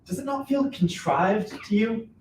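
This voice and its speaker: A woman's voice: mid-range voice, expressive, a little slow, darkish tone, close to an everyday voice. A woman acting a doubtful, reluctant male hero with a dry, questioning manner in a live reading of a comic play. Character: skeptical, reluctant male hero turned steel magnate. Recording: distant, echoey room recording with hiss.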